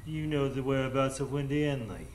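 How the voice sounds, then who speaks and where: An actor playing a gruff, dismissive, sarcastic police inspector in a live radio drama. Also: male voice, mid-range voice, brisk, a little mellow, close to an everyday voice. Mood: scornful, suspicious. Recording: live stage with background noise.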